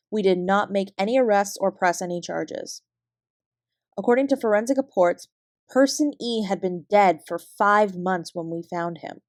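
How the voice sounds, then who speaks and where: A woman with a Canadian accent playing a guarded, weary fraud investigator, giving a clipped, measured account in an audio drama. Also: mid-range voice, a little mellow, dry recording, clean studio sound, lightly acted. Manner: measured, clipped report-like delivery. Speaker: investigator being interviewed.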